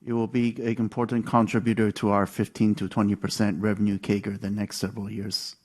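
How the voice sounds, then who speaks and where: A man's voice: lowish voice, flat delivery, a little fast. A man moderating an earnings call, restating analysts' questions in an orderly, explanatory way. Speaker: conference call moderator, investor relations.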